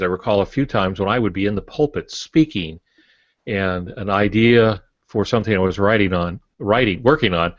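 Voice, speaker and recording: An older man co-hosting a podcast, explaining ideas about writing in a measured, casual teaching way. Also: on the low side, no echo. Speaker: podcast co-host, writer and former preacher. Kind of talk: casual instructive discussion. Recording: muffled lo-fi podcast audio.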